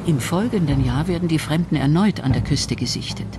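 A narrator reading a scripted history documentary in a measured storytelling register. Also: medium pitch, lively intonation, background noise.

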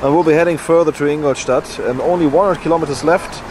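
A man's voice: fairly high pitch, fast talker. Man vlogging from a road trip. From Germany. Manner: enthusiastic, slightly non-native phrasing. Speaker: car vlogger. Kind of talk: casual travel vlog narration. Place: outdoors by cars and in a car on a road trip. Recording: camera audio with car and outdoor noise.

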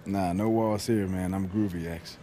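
A man's voice: low-pitched, steady tone, normal speed, mellow, slight background noise, lightly acted. A man playing an easygoing, driven young pro basketball player in a video game, with an American accent and casual slangy talk. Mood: agreeable. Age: young adult. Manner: casual, slangy, laid-back. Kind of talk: naturalistic video game cutscene acting.

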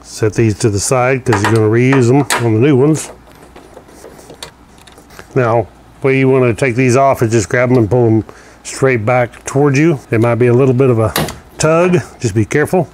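A man's voice: medium pitch, normal speed, a little mellow, slight background noise. A man giving a casual, practical how-to walkthrough while working with his hands in a garage, heard through a camera mic. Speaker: installer demonstrating a product. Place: garage, indoors.

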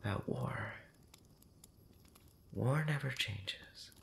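A man rambling intimately late at night in an ASMR style, breathy and often whispering, hesitant and pensive with long pauses and soft hums. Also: medium pitch, a little hiss or hum. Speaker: audio roleplay channel creator.

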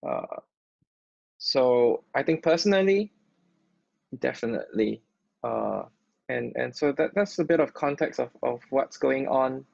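Man, workshop host, gravelly voice, halting delivery, dull dry call audio. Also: medium pitch, animated, darkish tone, no echo. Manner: halting, many restarts and fillers. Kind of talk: live online workshop presentation. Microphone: video call.

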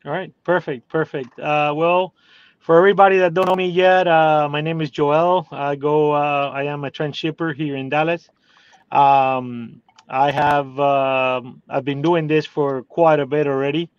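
A man's voice: on the high side, steady tone, measured pace, smooth, no echo. A man in his forties with a Cuban Spanish accent, speaking English as a second language, telling his story hesitantly with many fillers from inside a parked car. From Cuba. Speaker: guest. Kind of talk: casual interview. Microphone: phone video call. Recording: dry, slightly dull phone audio.

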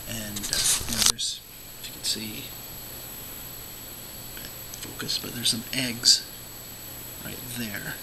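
Hoarse, scratchy-voiced man talking casually. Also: on the low side, takes it slowly, pauses often. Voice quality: scratchy, often dropping to a whisper. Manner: relaxed, rambling, many fillers. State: hoarse, losing his voice from illness. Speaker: aquarium vlogger, show host. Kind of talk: casual talk to camera.

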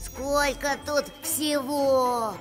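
A woman voicing a gentle, curious little male polar bear cub in an animated series, polite and full of wonder. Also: high voice, very slow, music in the background.

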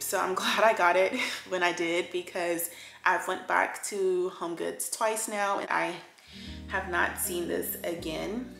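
A woman with a sore throat chatting through a home decor haul in a chatty, enthusiastic way, with lots of fillers. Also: fairly low pitch. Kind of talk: casual haul chat. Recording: roomy echo with background music.

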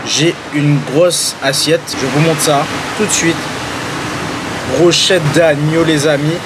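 A man's voice: fairly high pitch, steady tone, slow, heavy background noise. A man chatting casually and enthusiastically as a food vlogger, using slang and addressing his viewers. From Guadeloupe. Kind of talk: casual vlog chat, tasting food.

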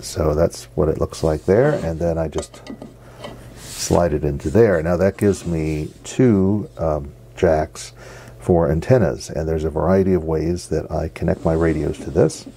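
A middle-aged man, giving a casual hands-on demonstration in a halting, unscripted way. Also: very deep voice, mellow. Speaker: radio hobbyist presenter. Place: basement radio workshop, indoors. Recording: noisy home basement recording.

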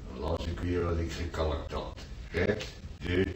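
An actor playing an old, easily agitated grandfather in a radio play, rambling and repeating himself haltingly. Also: deep voice, fairly even intonation. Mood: reminiscing. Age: older. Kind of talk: radio drama acting. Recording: roomy, distant, faintly noisy old radio recording.

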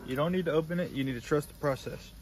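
A man's voice: a little high, quick pace. A man in his twenties hosting a casual cooking vlog, talking in a slangy, jokey way and repeating his phrases. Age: 20s. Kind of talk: casual chat to camera while cooking. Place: mostly outdoors in a backyard by a grill. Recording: noisy outdoor phone-camera audio.

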